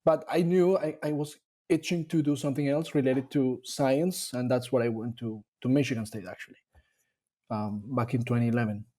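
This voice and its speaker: Mellow-voiced man, thoughtful. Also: mid-range voice, steady tone, normal speed. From Colombia. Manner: thoughtful, with frequent fillers and restarts. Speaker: dairy nutrition scientist guest. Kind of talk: casual interview conversation.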